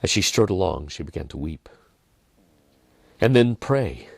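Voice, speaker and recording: A man reading a dramatic story in a measured manner, with deliberate pauses and softened phrases. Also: low voice, sing-song, gravelly, no room sound. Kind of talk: dramatic scripted narration.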